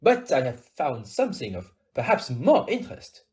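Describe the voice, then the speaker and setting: A man's voice: medium pitch, huge pitch swings, moderate pace, a little mellow. A man playing a courteous, self-assured Belgian detective in an audio play, with a French-speaking Belgian accent and formal, precise, theatrical phrasing. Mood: brisk, formal.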